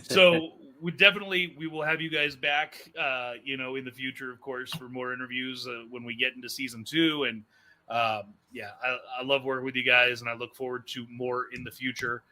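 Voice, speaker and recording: A man's voice: mid-range voice, steady tone, brisk, bright, forceful tone, poor audio. A man hosting an interview show in casual chat, with an informal, joking manner. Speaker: host of an audio drama interview show.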